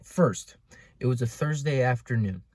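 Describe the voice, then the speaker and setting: Young american dude storytime vlog, kinda sarcastic and dramatic, mid pitched voice, really dry audio. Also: male voice, expressive, measured pace, darkish tone, no echo. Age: young adult. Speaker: vlogger. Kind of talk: casual personal storytelling. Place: inside a car.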